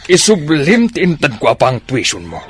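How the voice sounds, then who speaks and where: A man's voice: fairly high pitch, huge pitch swings, normal speed, soft background music. A man playing a domineering middle-aged sugar daddy in a radio drama, callous, scornful and emphatic. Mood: scornful, angry.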